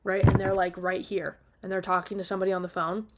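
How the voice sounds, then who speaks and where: A woman's voice: mid-range voice, level tone, quick pace, very noisy. A woman talking casually and off the cuff in an opinionated, emphatic rant, exasperated and casually sweary. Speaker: video diarist.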